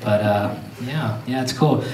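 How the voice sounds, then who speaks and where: A man's voice: medium pitch, a little slow. A man from Pakistan doing loose, self-mocking stand-up and crowd work on a theater stage. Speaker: stand-up comedian. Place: theater stage before an audience. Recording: echoey theater, distant mic, crowd noise.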